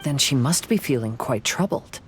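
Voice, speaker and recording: A man voicing a thoughtful, gentle young man in a video game, speaking calmly and reflectively. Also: mid-range voice, moderate pace, a little hiss or hum, lightly acted. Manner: calm, reflective. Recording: game dialogue over background music.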